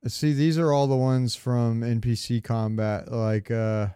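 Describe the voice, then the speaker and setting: A man's voice: mid-range voice, level tone, a little slow, dark tone, clear, resonant tone. A man co-hosting a podcast, chatting casually about games in slangy, profane language. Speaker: podcast co-host. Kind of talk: casual gaming chat.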